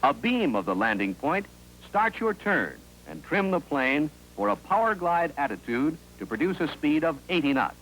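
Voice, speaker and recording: Lively American man narrating a 1950s training film. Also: mid-range voice. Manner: clear, instructive, authoritative. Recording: noisy, humming 1950s film soundtrack.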